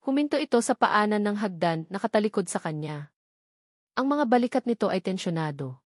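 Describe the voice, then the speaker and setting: A woman's voice: mid-range voice, expressive, fairly quick, no room sound, studio quality. A woman narrating a scripted story dramatically as an audiobook narrator.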